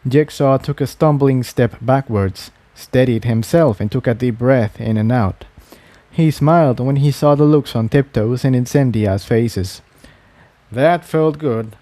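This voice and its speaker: A man narrates a fantasy adventure tale. His voice is medium in pitch with a soft, dark tone. The sound is very dry, with no room around it. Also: no echo. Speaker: audiobook-style reader of fan fiction. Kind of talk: scripted story narration.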